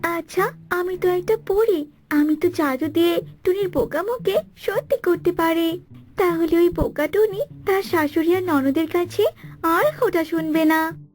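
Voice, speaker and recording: A woman's voice: extremely high pitch, measured pace. A woman voicing a kindly, pitying magical fairy in a cartoon. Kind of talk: Bengali cartoon voice acting.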